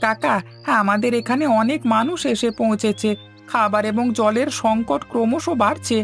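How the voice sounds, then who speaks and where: A worried cartoon villager, played by a woman, makes respectful appeals in formal scripted speech. The voice has a gravelly creak and sounds concerned, over a music bed. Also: fairly high pitch, moderate pace.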